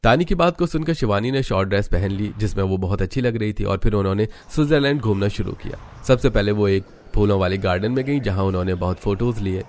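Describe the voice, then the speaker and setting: A man with a fairly low voice narrates a storybook tale. He reads from a script as the storyteller of a cartoon. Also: quick pace, hardly any pauses, a little mellow.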